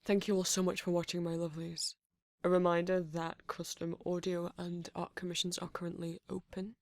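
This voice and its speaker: Creaky-voiced creator reading credits evenly. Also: mid-range voice, moderate pace, dry recording, studio quality. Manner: steady, list-reading delivery. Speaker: audio roleplay creator. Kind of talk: scripted channel outro, reading out credits.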